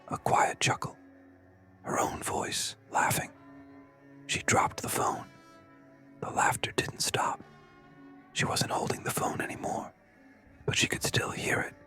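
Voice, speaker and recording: A whispering storyteller narrates horror over background music. The delivery is hushed and suspenseful, leaving pauses between short phrases. The whisper is breathy and very bright, with no voiced tone at all. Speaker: narrator of scary stories. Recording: music behind.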